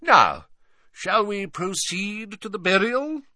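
A man voicing a pompous older male professor, slow and theatrical in his speech, sounding set back from the mic. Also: sits high, very animated, sharp tone, no echo, clean studio sound, voice pushed up, full character voice.

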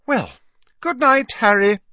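Guy doing upset teen girl in an audiobook, high n strained, clipped, hiding hurt. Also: animated, takes it slowly, bright, forceful tone, no echo, studio quality, heavily acted. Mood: curt. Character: upset, curt teenage schoolgirl hiding hurt. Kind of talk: audiobook character voice.

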